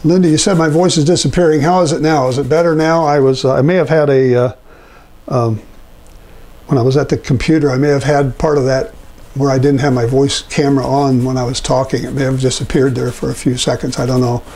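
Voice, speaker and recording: An older man with a raspy voice teaches a painting lesson, talking while he paints, unhurried and halting with many filler pauses. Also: mid-range voice, steady tone, darkish tone. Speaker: painting instructor. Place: indoor art studio.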